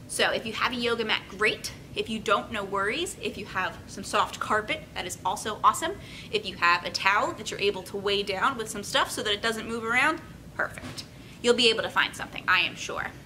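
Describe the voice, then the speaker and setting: A young woman hosting a children's storytime, speaking in an expressive, encouraging way as she reads and instructs. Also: poor audio. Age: young adult. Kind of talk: picture-book reading and friendly instruction for children. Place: indoor children's room with carpeted steps. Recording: clear room noise, low rumble.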